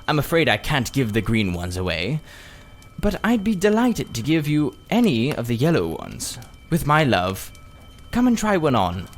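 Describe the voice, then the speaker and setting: Man playing a sly, oily old gentleman magician. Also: medium pitch, wildly swooping pitch, faint music behind, voice pushed up. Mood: coaxing. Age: older. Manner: unctuous, drawn-out, cunning politeness. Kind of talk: children's storybook character reading.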